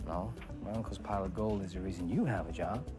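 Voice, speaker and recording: Actor as low-voiced, arrogant racing star. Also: male voice, fast, over music, lightly acted. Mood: scornful. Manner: cocky, contemptuous. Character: arrogant spoiled young star racing driver, sneering at fans. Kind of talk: live-action video game acting.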